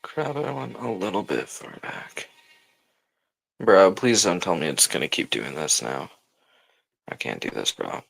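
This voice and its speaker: A man's voice: sits low, animated, unhurried, gravelly, pauses often, fairly bright tone. A man hosting a livestream, chatting casually and informally with slang as he reacts and talks to viewers. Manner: informal slangy talk, often saying bro. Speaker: livestream host.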